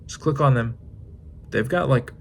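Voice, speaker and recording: A man in his twenties talking through his thoughts in a casual vlog, musing with pauses and restarts. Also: mid-range voice, vocal fry, slight background noise. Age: 20s. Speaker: fitness vlogger. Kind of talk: reflective casual vlog monologue.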